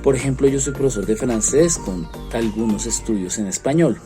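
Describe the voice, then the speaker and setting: A man from Colombia with a Colombian accent, a French teacher earnestly explaining his view to students, pausing often on fillers. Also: medium pitch, mellow, faint music behind. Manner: earnest, frequent hesitation fillers. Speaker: French teacher, interviewed expert.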